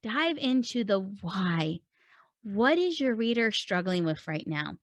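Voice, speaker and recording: A woman's voice: medium pitch, big pitch swings, slow pace, no room sound. Earnest woman coaching writers, dry sound. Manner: earnest and encouraging, asks many rhetorical questions. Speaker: writing coach and podcast host. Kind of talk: solo teaching talk to camera.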